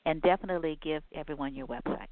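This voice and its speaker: Low-voiced woman host over a phone line. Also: fast talker. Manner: chatty, hesitant with many fillers. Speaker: radio show host. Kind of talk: casual interview conversation.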